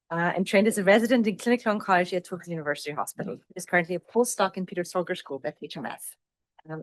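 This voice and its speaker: Brisk woman introducing a speaker. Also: fairly low pitch, no echo. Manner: brisk, with "um" fillers. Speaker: session moderator.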